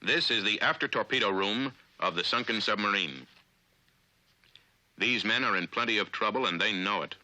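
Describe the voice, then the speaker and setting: An American man narrating a 1950s instructional film in an authoritative, clipped, deliberate manner. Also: fairly low pitch, sharp tone, dry recording, rough recording.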